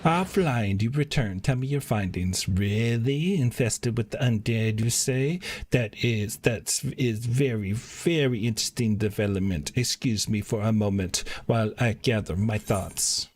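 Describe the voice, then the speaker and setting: A fussy, long-winded fantasy game scholar read aloud by a man, in a fairly low voice with pompous, slightly halting phrasing. Faint noise sits behind the voice. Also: a little mellow, lightly acted. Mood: intrigued. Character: fussy, wordy, self-important fantasy scholar.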